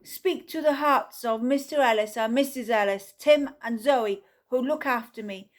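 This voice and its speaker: A woman voicing a Viking man who speaks halting broken English in a storybook reading. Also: fairly high pitch, natural voice. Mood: solemn. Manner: halting, solemn pleading.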